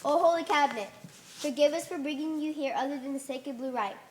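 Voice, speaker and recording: A woman's voice: sits high, fairly quick, darkish tone, slight background noise, natural voice. A woman playing a pompous, dogmatic alien holy leader in an amateur stage play, making solemn, commanding pronouncements. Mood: stern, preaching. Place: theater stage.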